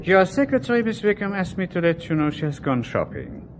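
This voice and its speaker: An actor playing a polite, helpful hotel receptionist in a radio drama, courteous and deferential. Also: male voice, high-pitched, wildly swooping pitch, a little fast, a little hiss or hum.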